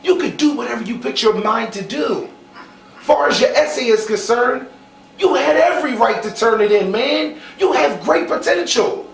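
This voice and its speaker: A man's voice: extremely high pitch, animated, normal speed, creaky, exaggerated voice. Stage drama: concerned male mentor, swooping intonation, insistent, in an echoey space. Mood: encouraging. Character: concerned adult who firmly encourages a despairing kid. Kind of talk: stage play acting. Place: theater stage. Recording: echoey stage with faint noise.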